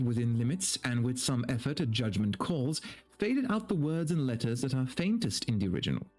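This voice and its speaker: A man with a fairly average-pitched voice streams to an audience, talking casually and reading text aloud. His delivery is animated and playful, with lively swings in intonation. Faint music plays behind him. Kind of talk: casual livestream chat and dramatic reading of a text.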